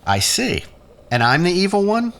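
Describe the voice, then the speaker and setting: A man's voice: high-pitched, sing-song, normal speed, a bit bright, heavily acted. A man playing a sardonic, morally challenging character in an audio drama, with a wry, pointed delivery. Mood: accusing.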